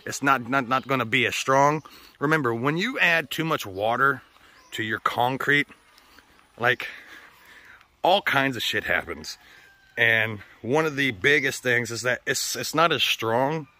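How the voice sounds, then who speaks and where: Male vlogger, mid-pitched and bright, forceful edge; loose, rambling, sweary, straight-talking delivery to an online audience. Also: measured pace. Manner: profane, slangy, blunt. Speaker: vlogger hosting a channel. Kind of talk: casual rambling vlog talk to viewers.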